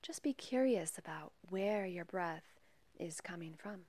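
A woman leading a guided meditation in a soft, breathy, partly whispery voice, calm and gentle, with long pauses between measured phrases. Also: fairly low pitch. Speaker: meditation guide and podcast host.

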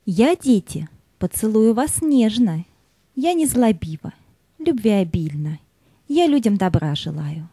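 Casting: actress as tender water spirit, audio play; mellow, breathy voice that glides widely in pitch. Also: female voice, big pitch swings, slow, no room sound, exaggerated voice. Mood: tender farewell. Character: gentle, loving female spirit of water.

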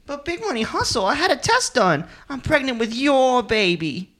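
A man's voice: very high-pitched, sing-song, moderate pace, heavy background noise, pitched above the performer's own voice. A man voicing a pleading pregnant woman in a melodramatic radio play. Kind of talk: campy radio-play acting.

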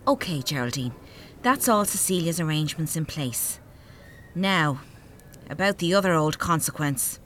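An actress playing a practical, spiteful grown daughter in a radio play, with an Irish accent and a dry, matter-of-fact manner. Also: female voice, low voice, expressive, moderate pace, fairly bright tone, slight background noise. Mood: businesslike.

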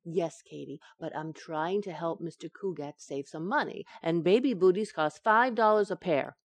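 A woman's voice: lowish voice, normal speed, no echo. A woman playing a scatterbrained, coaxing young wife in an old-time radio sitcom, with a breezy, innocent comic delivery. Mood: breezy, confiding.

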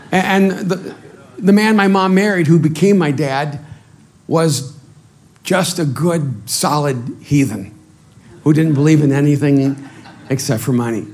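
A middle-aged man from El Salvador whose first language is Spanish, preaching a sermon as a pastor in an animated, self-deprecating way, often repeating words as he restarts. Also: fairly high pitch.

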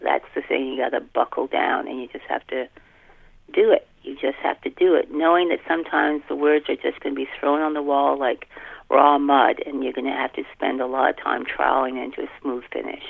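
A woman in her fifties with a Canadian accent talks casually and self-deprecatingly, like an author being interviewed. Her voice is creaky, and it comes through a thin, telephone-like connection with an animated storytelling manner. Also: extremely low pitch, brisk, dry recording. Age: 50s. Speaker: fantasy author, podcast guest. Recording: tinny telephone-like line.

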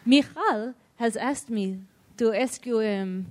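A woman with a high voice moderates a live panel event. She talks in a loose, halting way, full of restarts and easy laughter. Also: darkish tone, slight background noise. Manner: chatty, halting, laughing often.

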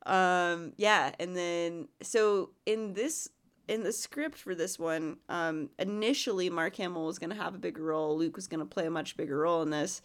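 A woman co-hosting a casual podcast chat, sick with a runny nose and sniffling, chatty and quick to laugh. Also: lowish voice. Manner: chatty, laughs often, trails off. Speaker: podcast co-host.

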